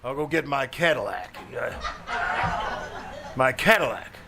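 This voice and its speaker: A man's voice: medium pitch, lively intonation, slow pace, gravelly. A man acting as an exasperated, well-off neighbor in an old-time radio comedy, blustering and wailing melodramatically. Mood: resigned, seething. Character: exasperated, wealthy male neighbor, melodramatically despairing. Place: small-town theater stage, live performance. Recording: noisy live theater stage recording.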